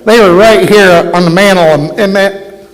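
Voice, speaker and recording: A man's voice: high-pitched, normal speed, close to an everyday voice. A man playing a brash, skeptical, folksy American father in a live old-time radio play, with an American accent. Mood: irritated. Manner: folksy, blustering. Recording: echoey hall stage recording.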